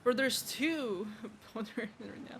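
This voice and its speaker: A woman streaming a game, giving casual, expressive live reactions in teasing slang. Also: a little high, unhurried, vocal fry, a little hiss or hum. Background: game music.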